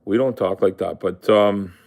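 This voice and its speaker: Brief: a man, a bit low voice, casual live stream host, rambling and profane. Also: steady tone, moderate pace, creaky, darkish tone. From Canada. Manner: rambling, profane, full of fillers. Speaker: livestream host. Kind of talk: casual livestream rant.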